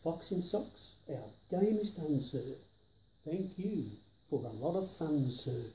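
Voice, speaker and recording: A very mellow grandfatherly man in his seventies reads a children's rhyme aloud with lively swings in pitch. He stresses each word with care. The living room adds some echo to his voice. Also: takes it slowly, slight background noise. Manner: careful, emphatic tongue-twister delivery. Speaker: storyteller grandfather. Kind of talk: reading a children's rhyming book aloud. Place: indoors, living room on a sofa. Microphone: camera a few feet away.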